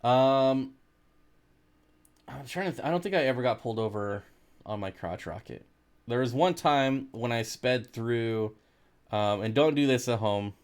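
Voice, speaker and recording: An American man speaks at an average male pitch in an informal, laid-back style. He sounds like a livestream host, reading out comments and replying to them in a jokey, conversational way. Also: measured pace, long pauses, smooth. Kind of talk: casual livestream chat.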